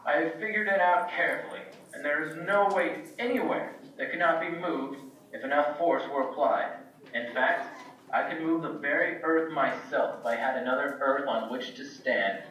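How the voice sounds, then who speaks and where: A man's voice: on the high side, normal speed, a bit bright, lightly acted. A man acting an eager, boastful ancient Greek scientist puppet in a children's show, pompous and theatrical. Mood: confident. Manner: pompous, theatrical, self-admiring. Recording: echoey room, far from mic, faint noise.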